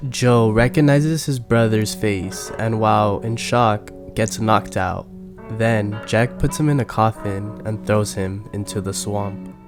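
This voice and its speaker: Lowish-voiced man narrating over music. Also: slow pace. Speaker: video game lore narrator. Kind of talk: scripted narration. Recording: game music under the voice.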